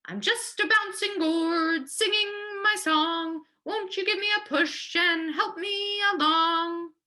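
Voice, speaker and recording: A woman voicing a plucky, crafty old grandma in a storybook, with a thin, high, sing-song voice. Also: slow, bright, forceful tone, soft background music, heavily acted. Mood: chanting, coaxing.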